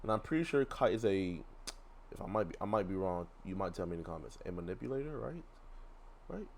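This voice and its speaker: Slangy man reacting, lowish voice. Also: animated, fast, creaky, a little mellow, slight background noise. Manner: slangy, rambling, repeats himself. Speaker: gaming YouTuber reacting to a video. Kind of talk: casual live reaction commentary.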